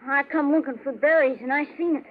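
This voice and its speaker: High, hesitant frontier boy, acted by a woman. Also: fairly quick, phone-quality sound, close to an everyday voice. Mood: earnest, hesitant. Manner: hesitant, simple phrasing. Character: shy, earnest frontier boy raised among Arapahoes. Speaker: actor in radio western. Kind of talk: 1950s radio western acting. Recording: thin 1950s radio, faint outdoor noise.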